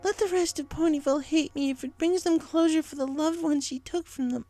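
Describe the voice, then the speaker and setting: A woman's voice: high-pitched, steady tone, a little fast, mellow, soft background music, pitched above the performer's own voice, close to an everyday voice. A woman voicing a tearful, guilt-ridden young female pony in an emotional, pleading story performance. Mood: pleading, resolute. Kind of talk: dramatic audio fiction reading.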